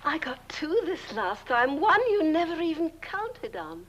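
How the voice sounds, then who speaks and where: An actress playing a scheming, murderous woman in a campy horror-comedy film, cooing sweetly and mockingly, shifting between hushed and shrill. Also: sing-song, unhurried, highs cut off, slight background noise, heavily acted. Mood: scornful.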